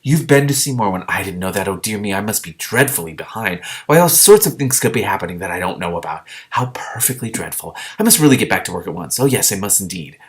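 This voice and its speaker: A man's voice: low voice, expressive, quick pace, few pauses. Man acting out a prim, huffy little talking lizard. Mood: flustered. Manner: exclamatory, fretful, huffy, dignified. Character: prim, fussy, easily offended male talking lizard. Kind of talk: audiobook-style character reading.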